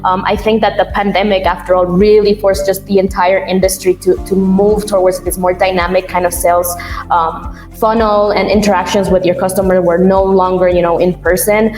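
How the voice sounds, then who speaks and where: A woman's voice: medium pitch, darkish tone. Young woman from Ecuador, echoey room. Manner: informal, chatty. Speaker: interview guest, CRM manager. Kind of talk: casual interview answers. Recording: echoey room, music behind.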